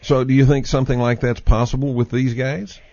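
A man's voice: mid-range voice, little pitch movement, fairly quick, mellow, muffled recording. A man with a Texas accent hosting a call-in talk show, hesitant at first and then emphatic. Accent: Texan. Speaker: host. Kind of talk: casual chat on a call-in show.

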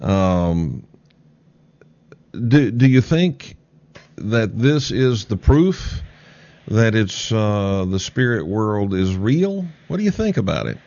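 A man hosting a call-in radio show, talking in a halting way with many pauses and fillers. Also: lowish voice, big pitch swings, darkish tone, dry recording, muffled recording. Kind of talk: late-night call-in radio talk.

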